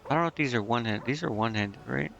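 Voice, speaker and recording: A man's voice: medium pitch, rapid-fire, creaky, fairly bright tone, highs cut off. A man giving casual live gameplay commentary, thinking aloud to himself in a hesitant, muttering way. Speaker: game streamer.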